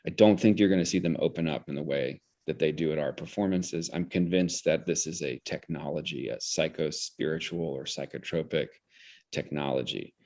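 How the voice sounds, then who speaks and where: A man's voice: a bass voice, normal speed, a little mellow. A man speaking as an interview guest, explaining ideas reflectively in an articulate, intellectual way and often restarting his phrases. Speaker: interview guest, theater director.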